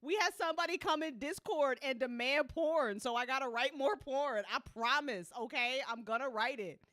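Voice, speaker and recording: A woman's voice: sits high, moderate pace, pushed and bright, no echo, studio quality. A woman hosting a live listen party in casual livestream chat, informal and teasing, with some swearing.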